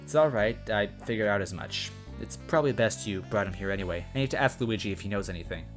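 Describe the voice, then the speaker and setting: A man voices a princess who serves as a police chief in a comic story. She speaks softly and sweetly, with a stern edge. Compassion sits under her authority. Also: on the low side, expressive, quick pace, faint music behind, natural voice. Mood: patient.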